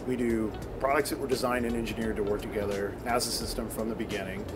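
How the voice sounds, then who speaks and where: 남성 제품 발표자, 뒤로 큰 음악 소리. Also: male voice, lowish voice, steady tone, fast talker. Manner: explanatory, technical. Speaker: company product presenter. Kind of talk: product walk-through at a trade show. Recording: loud background music at a show booth. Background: loud music.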